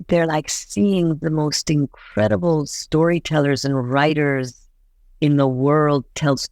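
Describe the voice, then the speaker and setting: A woman's voice: low voice, a little mellow, no echo. A woman born in Iran, an actress being interviewed, talking earnestly with many fillers and restarts. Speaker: voice actress guest. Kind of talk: interview answers.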